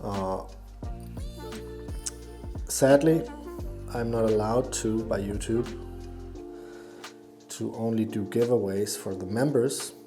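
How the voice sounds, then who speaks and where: A man with a Danish accent giving a casual hands-on tutorial, haltingly thinking aloud as he works. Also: lowish voice, over music. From Denmark. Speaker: fly tying instructor and YouTuber.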